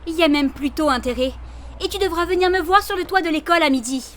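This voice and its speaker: A woman's voice: very high-pitched, quick pace, a little hiss or hum. A woman voicing a bossy, impatient teenage schoolgirl with a scolding manner in a French anime fan dub. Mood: bossy, annoyed. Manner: scolding, demanding.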